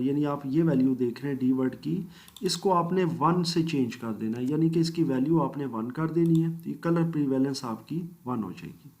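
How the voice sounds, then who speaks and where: A man teaching a screen-recorded software tutorial, walking through each step methodically with polite asides and English phrases mixed in. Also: a little high. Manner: mixes English phrases into speech. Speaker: computer course instructor.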